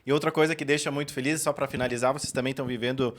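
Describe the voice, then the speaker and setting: A man's voice: mid-range voice, steady tone, rapid-fire. A man from Salvador das Missões, interviewing at a fair in a friendly, hesitant way and often repeating words. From Salvador das Missões, southern Brazil.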